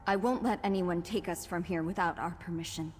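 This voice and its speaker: A woman's voice: lowish voice, a little fast, a little hiss or hum, lightly acted. A woman playing an earnest, sheltered young woman with hidden powers in a fantasy video game, speaking formally.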